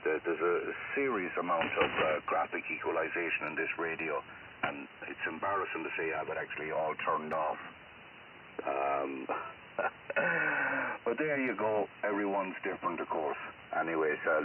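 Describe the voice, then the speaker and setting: A man with a very low voice chats casually over amateur radio. He sounds distant and narrowband, with clear hiss around him. His talk rambles, hesitant and self-deprecating. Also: normal speed. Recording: muffled narrowband shortwave radio with loud hiss.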